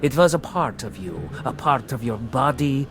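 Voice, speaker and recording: An actor playing a calm, accusing former prisoner in a vintage television drama, measured and insinuating, with mocking politeness. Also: male voice, medium pitch, soft background music, close to an everyday voice.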